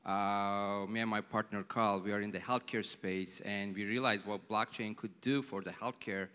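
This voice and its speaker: Smooth, flat-voiced man in a big hall. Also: fairly low pitch, moderate pace. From New Jersey. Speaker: panelist. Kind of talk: casual self-introduction. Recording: long hall reverb.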